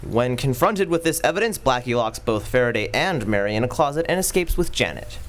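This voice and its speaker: A man's voice: mid-range voice, very animated, fairly quick, fairly bright tone, a little hiss or hum. Man giving an old-radio recap. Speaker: announcer. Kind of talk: old-time radio drama recap narration.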